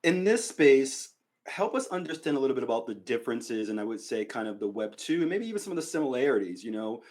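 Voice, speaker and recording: Male podcast host, middle pitch, rambling hedged questions, casual. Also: very animated, fast, darkish tone. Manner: rambling, hedging questions with many "like"s.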